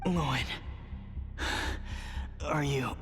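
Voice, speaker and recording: A man's voice: fairly high pitch, drawn-out words, big-room sound, soft background music. A man playing a weakened, wary scientist in a game, sounding strained. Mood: weak, suspicious.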